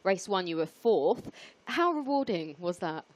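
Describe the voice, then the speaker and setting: A woman's voice: lowish voice, very animated, measured pace, a little mellow. A woman co-hosting a TV studio show, cheerful, laughing along and asking the guest questions. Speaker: TV show co-host.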